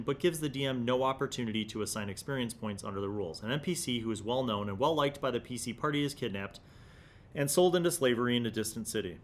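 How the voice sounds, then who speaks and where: Man in his forties, explaining. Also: medium pitch. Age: 40s. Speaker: host of a gaming review series. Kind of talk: magazine read-aloud with conversational commentary. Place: indoor studio set. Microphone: desktop microphone.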